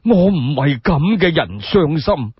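An aged, solemn sage sunk in grief for a radio story. His lament is drawn out and husky. The recording is dry and somewhat muffled. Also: a little high, very animated, takes it slowly, no room sound, heavily acted. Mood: mournful. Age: older. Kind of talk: Cantonese radio storytelling drama.

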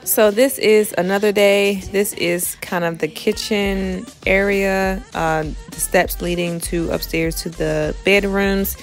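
A woman's voice: mid-range voice, fairly even intonation, slow pace, hardly any pauses, faint music behind. A young woman casually narrating her cleaning routine, chatty and explanatory as she talks through each chore. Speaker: cleaning vlogger.

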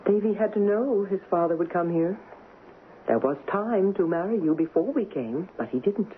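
An actress playing a gentle, resigned middle-aged mother, genteel and confiding, in a 1970s radio drama. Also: on the low side, lively intonation, moderate pace, lightly acted. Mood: sorrowful, warning. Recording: muffled, noisy 1970s radio broadcast.

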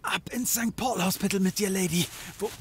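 A man's voice: high voice, normal speed, music in the background, close to an everyday voice. A man playing a grumpy, sardonic private detective in his late thirties in a comedic audio drama, muttering and stammering, with some noise behind him. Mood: alarmed, urgent.

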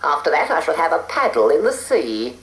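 A man voicing a spiteful, bossy witch in a children's story, shrill and hissing. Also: huge pitch swings, a little fast, vocal fry, full character voice. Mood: gloating. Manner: shrill, shouting, then hissing whispers. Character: shrieking nasty female witch, bossy and spiteful. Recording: roomy echo with loud hiss.

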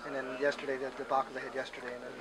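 A man's voice: medium pitch, monotone, racing, over a noisy crowd, poor audio. A man working as a sports reporter, putting questions to a player after a game. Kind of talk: asking postgame questions.

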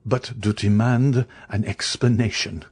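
A man's voice: lowish voice, fairly even intonation, measured pace, darkish tone, slightly rough. A man reading the part of an angry young viscount who trembles with fury. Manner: furious, tense, accusing.

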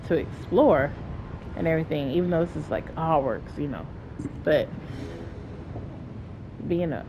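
A young woman streaming a game, chatty and excitable, her voice a bit low and gravelly and stuffed up from a cold. Loud game music runs beneath her talk. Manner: chatty, excitable, rambling, self-talking. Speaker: gameplay streamer. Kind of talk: casual let's-play commentary.

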